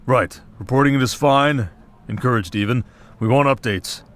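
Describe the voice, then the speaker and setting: A man's voice: medium pitch, normal speed, a bit bright, pitched above the performer's own voice, close to an everyday voice. A man voicing a stern commander of monster hunters, clipped and authoritative, briefing a recruit in a scripted audio roleplay. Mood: stern, businesslike. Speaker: voice actor.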